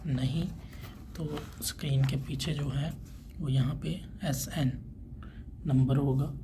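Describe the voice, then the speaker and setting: A man's voice: medium pitch, steady tone, takes it slowly. A man giving an informal step-by-step tech tutorial, talking through each step as he does it. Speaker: tutorial presenter. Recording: clearly noisy background ambience.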